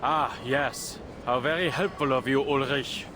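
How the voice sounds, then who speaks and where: A man's voice: mid-range voice, fairly even intonation, measured pace, lightly acted. An actor playing a dryly sarcastic male scientist in a video game. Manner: sarcastic, dry. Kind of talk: dramatic video game voice acting. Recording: clear background ambience noise.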